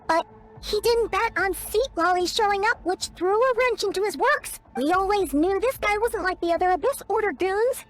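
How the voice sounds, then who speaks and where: A woman's voice: extremely high pitch, expressive, normal speed. A woman voicing a small, childlike fairy companion in a video game, chirpy, curious and outspoken, with an exclamatory way of talking. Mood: chatty, curious. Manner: refers to self in third person, exclamatory. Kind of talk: scripted video game dialogue. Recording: game music behind. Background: game music.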